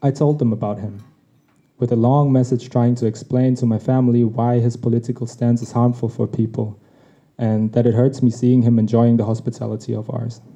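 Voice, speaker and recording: A man whose mother tongue is Tagalog, reading a personal essay on his podcast in a reflective, measured way. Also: medium pitch, little pitch movement, warm tone. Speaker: podcast host. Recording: dull lo-fi recording.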